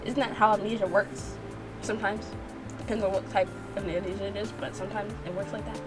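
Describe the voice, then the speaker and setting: A woman's voice: medium pitch, steady tone, a little fast, rough recording. A woman hosting a game playthrough, narrating it and adding playful, slangy, casual reactions. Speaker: gaming channel host. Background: background music.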